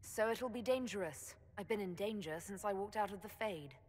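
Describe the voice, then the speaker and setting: An actress playing a direct, plucky quest heroine in a fantasy game, plain-spoken in manner. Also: female voice, medium pitch, normal speed, a little mellow, close to an everyday voice. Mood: direct, determined. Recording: long hall reverb.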